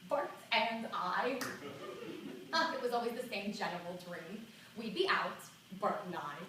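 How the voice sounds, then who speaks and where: A woman performing a theatrical monologue as a reticent, exasperated seventy-two-year-old woman, halting and colloquial as she reminisces. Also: mid-range voice, crowd noise, close to an everyday voice. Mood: dreamy. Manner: halting, dramatic, colloquial, self-interrupting. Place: small indoor theatre stage. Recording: echoey theatre, far from the mic, faint noise.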